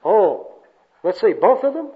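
A wary skid row bum, acted by a man in an amateur skit, baffled and sardonic. He talks in slangy, puzzled phrases at a raised pitch. The sound is dull and lo-fi. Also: sing-song, unhurried. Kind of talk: amateur dramatic reading of a comic skit.

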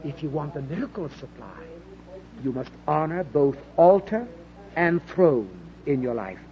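A man's voice: fairly high pitch, expressive, measured pace. A man with a British accent preaching an evangelical sermon with impassioned delivery, repeating phrases for emphasis and putting rhetorical questions to his listeners. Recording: dull, lo-fi sermon recording.

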